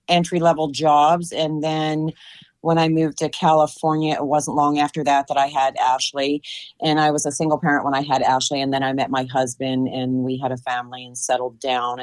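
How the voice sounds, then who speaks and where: A woman in her fifties answering interview questions, thoughtful and hesitant with many fillers. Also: low-pitched. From United States. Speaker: interview guest.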